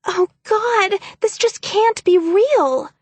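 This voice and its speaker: A woman's voice: very high voice, expressive, slow, darkish tone, dry recording, pitched above the performer's own voice, heavily acted. A woman voicing a teenage mermaid girl who fakes hysterical terror, wailing and sobbing. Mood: panicked wailing.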